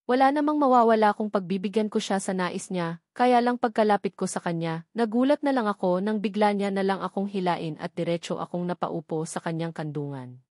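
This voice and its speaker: Filipino lady narrating a romance audiobook, mid pitch, really dry recording. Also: brisk, a little mellow, dry recording. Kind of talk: scripted first-person romance novel narration.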